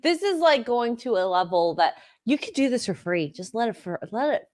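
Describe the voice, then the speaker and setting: High bouncy voice, woman cohost, teasing and laughing a lot, dry mic. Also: big pitch swings, normal speed, a little mellow, no echo. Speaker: co-host. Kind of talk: casual chat on a livestream.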